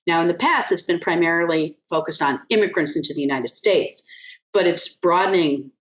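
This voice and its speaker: A woman speaking as a guest on a webinar, giving thoughtful, encouraging advice with pauses over a video call. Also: fairly low pitch, poor audio.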